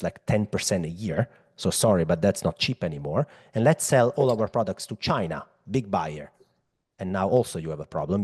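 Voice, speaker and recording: A man's voice: sits low, a little mellow, husky. A man with an Italian accent giving an explanatory finance presentation, conversational and emphatic, addressing listeners directly. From Europe. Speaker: macro analyst presenting.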